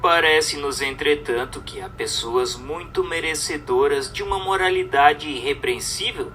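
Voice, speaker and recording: A man with a Brazilian accent reads a religious book as scripted narration, in measured, declamatory phrasing. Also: on the high side, sharp tone, distant mic, faint music behind.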